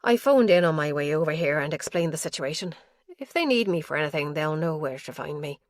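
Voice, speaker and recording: Woman reading as a kind, brisk woman detective. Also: sits low, animated, dry recording, studio quality. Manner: brisk, helpful.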